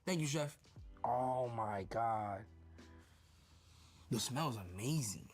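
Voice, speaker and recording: A young man with a Dominican accent, chatting excitedly and joking as he tastes and plates food in a restaurant kitchen for his stream. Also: medium pitch, slow pace, faint music behind. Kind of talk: casual food vlog chat.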